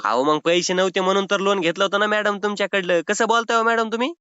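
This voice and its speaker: A man's voice: high voice, quick pace, bright, forceful tone, clean tone, dry recording. A man playing a cheeky, evasive rural Maharashtrian borrower with a whiny, argumentative way of stalling in a comic phone call. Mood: whiny excuses. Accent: rural Maharashtrian Marathi. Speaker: prank caller playing a loan defaulter. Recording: slightly dull phone-call audio.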